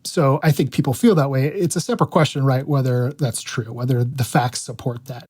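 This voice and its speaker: An American man, a reporter explaining things as an interview guest, measured and careful in how he phrases them. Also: mid-range voice, darkish tone, breathy edge, no echo. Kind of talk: explanatory radio interview talk.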